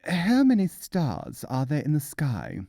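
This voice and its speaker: A man's voice: a little high, wildly swooping pitch, measured pace, creaky, dark tone, no echo, clean studio sound, pitched above the performer's own voice, full character voice. A man voicing a challenging learned philosopher in a storybook, formal and probing. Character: challenging male philosopher, solemn learned disputant. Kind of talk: storybook character voice.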